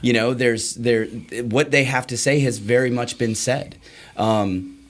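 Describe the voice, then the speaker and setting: A man's voice: mid-range voice, fairly even intonation, unhurried. A man hosting a podcast, talking in an earnest, informal, conversational interview style. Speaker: podcast host.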